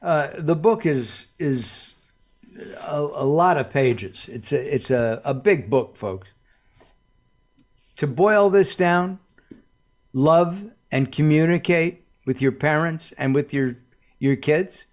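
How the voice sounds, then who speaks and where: A man's voice: a little high, expressive, takes it slowly. A man hosting a talk radio show, chatty and upbeat, often rambling.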